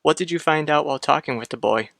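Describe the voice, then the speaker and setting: An actor playing a brisk, guarded hospital doctor in a radio soap, with clipped, direct delivery. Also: male voice, fairly high pitch, creaky, a bit bright, no room sound, clean studio sound. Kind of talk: old radio soap opera acting.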